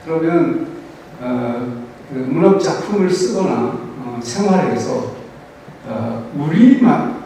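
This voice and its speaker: A man's voice: on the high side, warm tone, heavy reverb, in a large hall, distant mic, a little hiss or hum, poor audio. A man asking a long, rambling question at a talk, with many fillers. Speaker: audience member asking a question.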